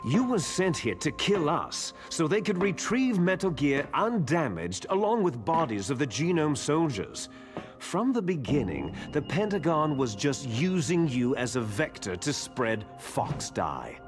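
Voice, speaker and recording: Slow, swooping, theatrical villain. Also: male voice, fairly high pitch, sing-song. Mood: coolly explaining. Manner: theatrical, smug, drawn-out menacing delivery. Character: bitter, taunting villain, soldier twin brother of the hero. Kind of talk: dramatic video game cutscene acting. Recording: loud game music behind the voice.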